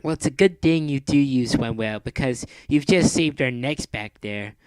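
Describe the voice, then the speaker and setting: A man voicing an upbeat, bold young woman speaking in a raised, excited way. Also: medium pitch, moderate pace, clear, resonant tone, no echo, voice pushed up, natural voice.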